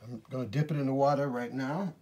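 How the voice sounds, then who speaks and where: A middle-aged man with a husky, slightly hoarse voice, teaching oil painting in a relaxed, rambling way with many fillers in his studio. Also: mid-range voice, fast. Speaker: art teacher. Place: studio, in front of an easel.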